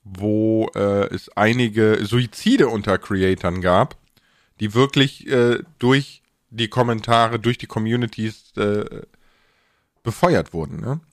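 A man's voice: on the low side, slow, no echo. Colloquial man chatting, dry close sound, sibilant s. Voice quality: slightly stressed sibilant s sounds. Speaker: podcast host and content creator. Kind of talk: casual podcast chat.

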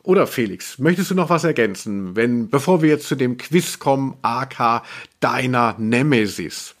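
A man's voice: medium pitch, expressive, normal speed. A man hosting a podcast in casual, colloquial chat, rambling along and dropping in English slang. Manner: rambling, colloquial, anglicism-sprinkled. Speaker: podcast host.

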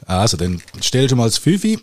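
A man's voice: medium pitch, wildly swooping pitch, moderate pace, darkish tone. A man hosting a casual podcast interview and putting questions to his guest.

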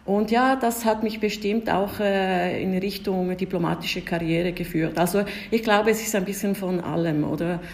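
A woman in her forties with an Italian-speaking Swiss accent, Italian being her first language, tells her story as a podcast guest. She pauses often on filler sounds yet stays lively, in a mid-pitched voice. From Ticino, Switzerland. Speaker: diplomat guest.

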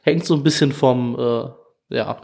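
A man's voice: medium pitch, unhurried, gravelly, a little mellow. A man talking casually as a podcast guest, explaining things as a music educator. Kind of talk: casual interview talk.

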